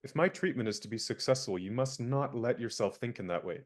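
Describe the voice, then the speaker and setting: A young man acting an indignant valet in a radio play, crisp and lecturing. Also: medium pitch, fairly quick, lightly acted. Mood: stern.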